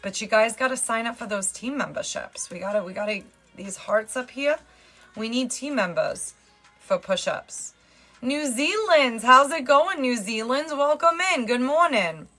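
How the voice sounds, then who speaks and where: A woman's voice: a little high, expressive, unhurried, sharp tone, faint music behind. A woman with a New Jersey accent chatting casually on a fitness livestream. She jokes around and greets viewers by name. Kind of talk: casual live-stream chat.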